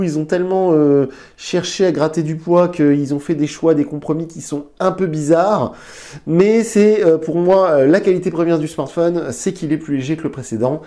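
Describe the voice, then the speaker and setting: A man hosting a casual review of a new phone, his voice a little high but soft and dark in tone. A cold leaves him stuffy and nasal. He rambles easily, restarting and filling pauses as he goes. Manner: chatty, hesitant fillers and restarts. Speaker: tech reviewer, channel host. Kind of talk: casual tech review to camera. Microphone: lapel mic.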